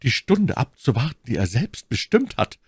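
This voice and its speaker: A man voicing a frightened young maid who stammers in a high voice. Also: huge pitch swings, normal speed, breathy edge, dry recording, studio quality, exaggerated voice.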